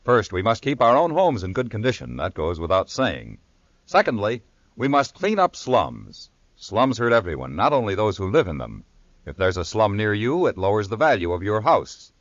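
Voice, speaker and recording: A man's voice: fairly low pitch, big pitch swings, normal speed, dry recording. A man with an American accent reading scripted announcements in a formal, emphatic 1950s radio style. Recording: muffled old radio recording.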